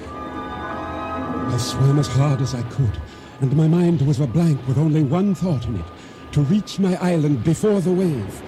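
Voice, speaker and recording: An actor playing a solemn island boatman, narrating his ordeal at sea in measured, formal, deliberate words for a radio drama. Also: a little high, huge pitch swings. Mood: urgent, anguished. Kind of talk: dramatic first-person narration for radio theater. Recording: radio drama with music underscore.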